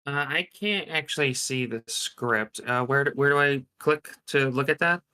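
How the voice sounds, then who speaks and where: Volunteer reader, crisp bright voice at medium pitch, casual and conversational. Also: normal speed. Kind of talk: casual chat.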